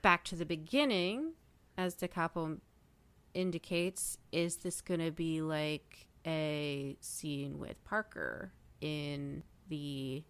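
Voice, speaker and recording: A woman's voice: low-pitched, slow, clear, resonant tone. A woman speaking as a podcast panelist in a casual fan discussion, thinking aloud in a thoughtful way.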